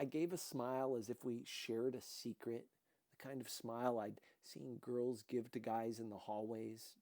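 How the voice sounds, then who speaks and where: A man's voice: lowish voice, dark tone, no echo. A man reading a first-person novel aloud as scripted narration. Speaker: audiobook reader.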